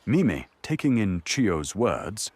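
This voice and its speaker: Super deep husky guy narrating an audio drama, really dramatic, voice moves all over. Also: very animated, slow pace, darkish tone, no echo.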